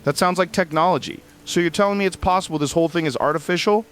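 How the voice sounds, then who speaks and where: A man playing an excitable radio host in a scripted drama, pressing with emphatic, slangy, incredulous questions. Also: sits high, quick pace. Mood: excited, suspicious. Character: excitable male talk radio host probing conspiracy theories.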